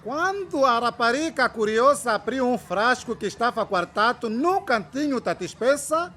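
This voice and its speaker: A man's voice: very high-pitched, animated, normal speed, sharp tone, slight background noise, heavily acted. A man playing an eager, idealistic trainee teacher in his twenties in a radio drama, with a Mozambican accent and an affectionate, playful manner. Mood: playful storytelling. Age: 20s.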